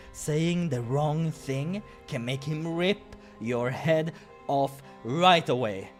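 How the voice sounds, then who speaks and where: A man hosting a visual novel playthrough, reading the story aloud with playful, theatrical reactions. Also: medium pitch. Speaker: gaming host narrating a playthrough. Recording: music behind the voice.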